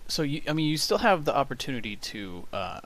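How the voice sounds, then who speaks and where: A man's voice: mid-range voice, big pitch swings, fairly quick, fairly bright tone. A man chatting casually and hesitantly while he works on an audio edit. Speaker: audio drama creator editing an episode. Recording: faintly hissy home microphone.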